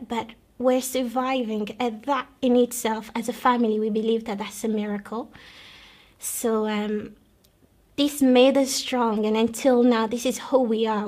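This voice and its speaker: A young woman from the Democratic Republic of Congo telling her own life story in an earnest, measured way, pausing and restarting often. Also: fairly high pitch, a little mellow. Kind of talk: personal testimony, recalled storytelling.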